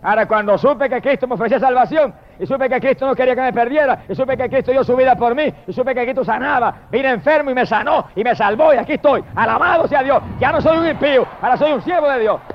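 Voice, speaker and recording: Male evangelical preacher, high register, fervent declamatory delivery with drawn-out praise, on a dull low-fidelity recording. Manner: emphatic and declamatory, drawing out exalted phrases, addressing listeners directly.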